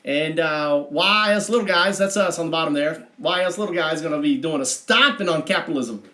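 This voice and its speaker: A man's voice: on the high side, a little fast, sharp tone. A man putting on an American Southern accent as a brash, folksy redneck livestream host who rambles, boasts and swears casually to his viewers. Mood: folksy, chatty. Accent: put-on American Southern. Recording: roomy, echoing livestream audio.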